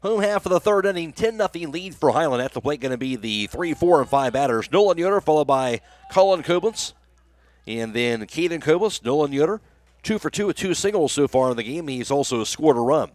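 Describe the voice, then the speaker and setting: A man's voice: on the high side, expressive, a little fast, no echo. An American man doing live radio play-by-play commentary of a baseball game.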